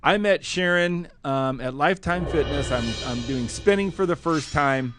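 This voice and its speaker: A man's voice: fairly high pitch, moderate pace, music in the background. A man hosting a motivational podcast, with an enthusiastic, punchy delivery. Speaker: podcast host.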